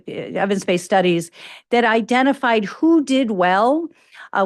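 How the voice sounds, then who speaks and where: A woman's voice: mid-range voice, no echo, clean studio sound. A woman with a slight Chicago accent giving an academic grand rounds lecture, talking conversationally with fillers and restarts. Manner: conversational, self-correcting, with frequent fillers.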